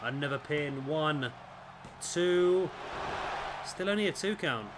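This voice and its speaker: A man with a raspy, fading voice, commentating on a wrestling video game as the show's host. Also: fairly high pitch, lively intonation, takes it slowly. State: hoarse, voice fading. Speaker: host and commentator of a gaming series. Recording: clearly noisy background.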